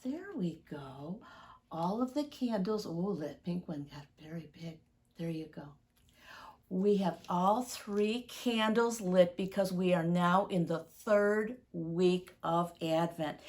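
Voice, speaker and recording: A woman, speaking deliberately and expressively to young children as a story-time teacher. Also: fairly low pitch, expressive, a little mellow. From United States, Midwest.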